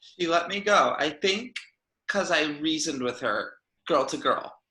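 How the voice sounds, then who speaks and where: A man's voice: fairly high pitch, animated, a little slow, pushed and bright. A man playing a breezy, naive young pageant princess in a script table read. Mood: nervous, naive. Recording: echoey room.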